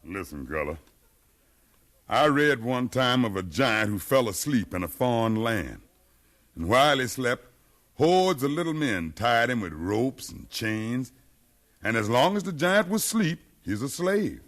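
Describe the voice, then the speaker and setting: An American actor playing a bold, educated freed slave who leads a revolt, with impassioned oratory in 1950s radio drama style. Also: male voice, mid-range voice, very animated, a little slow, fairly bright tone. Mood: impassioned, persuasive. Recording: remastered 1950s radio broadcast.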